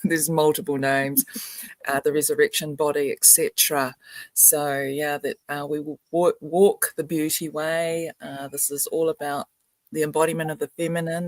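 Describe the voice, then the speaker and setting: An older woman from New Zealand giving a soft, meandering spiritual talk on a video call, laughing often. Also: low-pitched, creaky, no room sound. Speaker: spiritual grandmother representative.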